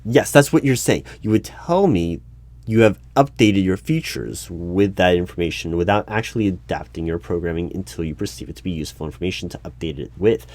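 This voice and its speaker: A man playing a wary, probing hiring manager in a one-sided audio roleplay, speaking haltingly with stammers and repeats. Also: deep voice, lively intonation, darkish tone, dry recording. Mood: accusing, suspicious. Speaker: hiring manager at a secret agency. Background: computer keyboard typing.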